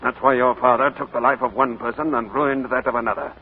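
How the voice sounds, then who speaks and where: An actor playing a bitter, unforgiving older uncle, stern and grave, in 1940s radio acting on an old broadcast recording. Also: male voice, medium pitch, fairly even intonation, fast talker, natural voice. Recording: old AM broadcast, narrow and hissy.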